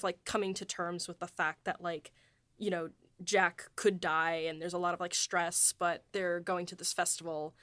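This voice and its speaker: A woman's voice: lowish voice, a bit bright, no room sound. A woman co-hosting a behind-the-scenes podcast, chatting casually with lots of fillers and laughs. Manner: chatty, many fillers, giggly. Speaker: podcast co-host and writer.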